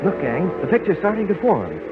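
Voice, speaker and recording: A man playing an excited, friendly guide, animated and full of wonder, in old radio drama style. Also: sits high, brisk, loud music behind the voice. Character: excited, friendly man narrating a magic vision. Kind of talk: 1930s radio drama acting. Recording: tinny, very noisy old radio recording.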